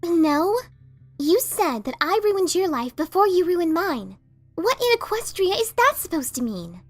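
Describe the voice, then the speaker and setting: A woman plays a pegasus mailmare in a fan fiction drama. Her voice is high, earnest and a little hesitant, with a dry edge, over faint music. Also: very animated, a little slow, no room sound, heavily acted. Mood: puzzled. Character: earnest, sheepish pegasus mailmare, younger sister.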